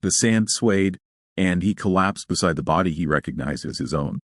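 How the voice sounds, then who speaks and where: Very deep male storyteller, close and dry. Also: animated, moderate pace, no room sound. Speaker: narrator of an audio story. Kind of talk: scripted dramatic narration of sci-fi horror.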